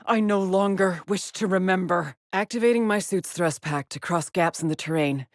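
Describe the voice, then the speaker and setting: A woman's voice: lowish voice, moderate pace, no room sound, studio quality, natural voice. An actress voicing a haunted, determined female astronaut scout in a video game, with terse, introspective, formal phrasing. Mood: haunted, mournful. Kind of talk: dramatic video game monologue and log entries.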